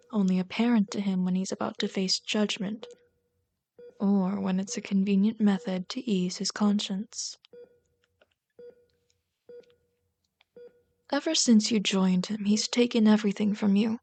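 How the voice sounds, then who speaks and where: A woman playing a bitter, lovelorn villain in an intimate ASMR roleplay, deliberate and intense, often slipping into breathy whispers. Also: medium pitch, fairly even intonation, a little mellow, close to an everyday voice. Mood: scornful, accusing. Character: bitter, lovelorn villain pleading with a hero's wounded sidekick.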